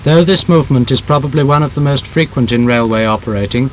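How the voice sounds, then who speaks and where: A man with a British accent reads a scripted 1930s instructional film narration in a formal, emphatic, measured manner. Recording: noisy old film soundtrack with rumble.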